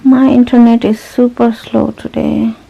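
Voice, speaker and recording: A woman's voice: on the high side, unhurried, gravelly, lo-fi sound. A young woman whose first language is Nepali, chatting casually through her day on a home vlog. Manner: relaxed, chatty, talks straight to camera. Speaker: vlogger. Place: home, indoors.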